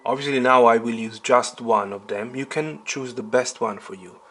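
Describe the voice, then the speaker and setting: A man giving a step-by-step piano lesson, explaining deliberately and emphatically and putting questions to the learner. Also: mid-range voice. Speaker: piano teacher. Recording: piano playing alongside the voice. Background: piano chords.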